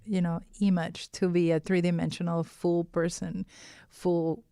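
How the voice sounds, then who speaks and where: A woman's voice: fairly low pitch, little pitch movement, unhurried, vocal fry, dark tone, smooth, dry recording, studio quality. A woman from Peru with a Peruvian accent, speaking as an illustrator in a casual interview, phrasing her explanations carefully with repeats.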